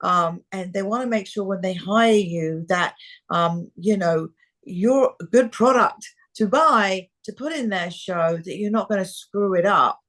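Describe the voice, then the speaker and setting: A woman talent agent on a video call, talking frankly with lots of fillers, her voice a little hoarse. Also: medium pitch, unhurried, fairly bright tone. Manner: frank, many fillers and restarts. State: slightly hoarse. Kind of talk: live video call chat. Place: quiet room in a rental house.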